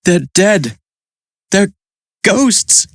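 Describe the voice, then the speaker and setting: A woman voicing an eager, nervous young boy in a storybook, excitable and earnest. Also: lowish voice, moderate pace, dry recording, studio quality, voice pushed up.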